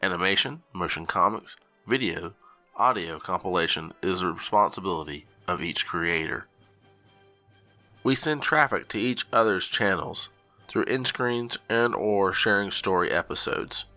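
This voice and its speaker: A man reading a scripted announcement, setting out group rules in a measured way like a charter. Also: deep voice, expressive, bright, forceful tone, faint music behind. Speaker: channel co-founder.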